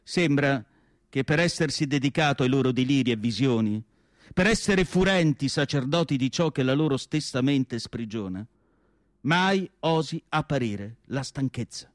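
A man reciting literary prose as a radio theatre monologue, solemn and declamatory, with deliberate phrasing and dramatic pauses. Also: medium pitch, lively intonation, no room sound, studio quality.

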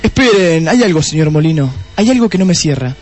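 Man acting a cocky high-voiced Argentine detective. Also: lively intonation, darkish tone, faint music behind, lightly acted. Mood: puzzled. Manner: self-satisfied, explaining with dramatic pauses. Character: cocky young male police detective, smug and theatrical. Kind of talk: comedic radio drama acting.

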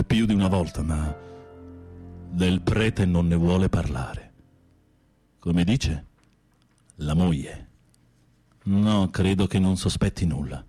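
A deep, husky, wistful male prisoner. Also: takes it slowly, pauses often, dark tone, soft background music, heavily acted. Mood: furtive, pleading. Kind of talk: radio drama acting.

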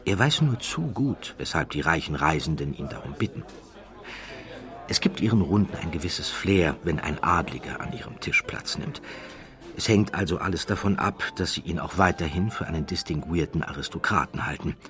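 A man narrating an audiobook from a literary script, with measured, dramatic phrasing. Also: extremely low pitch, lively intonation, fairly bright tone.

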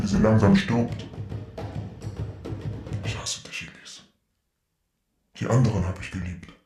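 An actor playing a cold, menacing murderer in a radio play, speaking deliberately and hatefully. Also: male voice, lowish voice. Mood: hateful, threatening. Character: menacing male killer confessing murders on tape. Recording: roomy echo under music.

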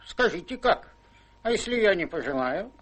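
An actor playing a miserly, crude old country baronet in a radio play, with a sly, teasing, drawling manner. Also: male voice, high voice, very animated, normal speed, fairly bright tone, heavily acted. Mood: mocking. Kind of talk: theatrical radio play acting.

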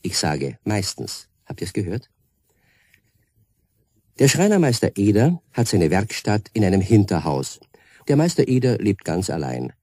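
A man narrating a children's radio play as an expressive storyteller who speaks directly to young listeners. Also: fairly low pitch, husky, no echo. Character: storyteller introducing a children's tale.

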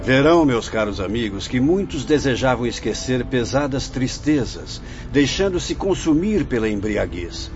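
An actor playing a wise, solemn spirit mentor in a radio drama, instructing in formal, preachy phrases over music. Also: male voice, mid-range voice, very animated, normal speed, hardly any pauses, natural voice. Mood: solemn, exhorting. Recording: dull lo-fi audio under music.

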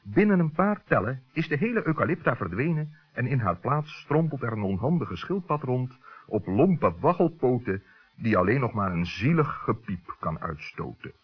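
A man's voice: mid-range voice, very animated, moderate pace, breathy edge, dull audio. A man narrating a scripted children's story on an old radio recording.

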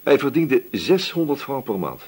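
Shrewd, husky police inspector, animated pitch. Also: male voice. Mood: puzzled, deliberate. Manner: brisk, questioning, authoritative. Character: shrewd, probing, sceptical male police inspector. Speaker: actor in a radio play. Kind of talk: Dutch radio drama acting.

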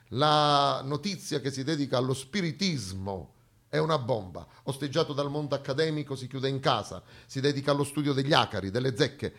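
A man performs satirical theatre. He delivers a mock lecture, breaking off for ironic asides to the audience. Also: medium pitch. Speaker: stage performer, satirical monologist.

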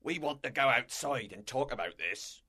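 A man's voice: medium pitch, lively intonation, normal speed, sharp tone, breathy edge, voice pushed up. A man voicing a resentful, mutinous buccaneer who speaks in a confrontational, accusing manner in an audiobook story. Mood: threatening. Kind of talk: dramatized audiobook character voice.

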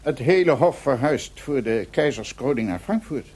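A man's voice: mid-range voice, animated, moderate pace, darkish tone, slightly rough. A man playing a curt, condescending court theatre official in a radio drama, with a dismissive, officious manner.

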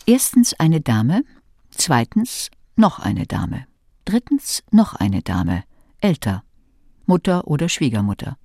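A man narrating a radio play in a deliberately spaced, dry, sardonic manner with a husky voice. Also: fairly high pitch, sing-song, dark tone, no room sound, exaggerated voice. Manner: slow, listing, deliberately spaced.

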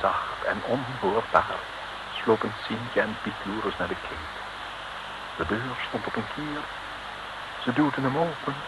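An actor narrating an adventure serial in a florid, mock-solemn old radio storytelling style. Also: male voice, medium pitch, measured pace, long pauses, natural voice. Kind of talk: scripted narration, 1950s-60s radio serial. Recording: very noisy old radio recording.

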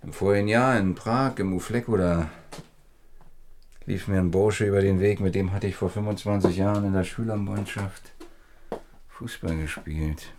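Deep-voiced, evasive man in his thirties. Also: moderate pace, a little mellow, a little hiss or hum. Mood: hesitant, surprised. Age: 30s. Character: man in his mid-thirties, evasive, flirting part-time disc jockey. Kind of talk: German radio play dialogue.

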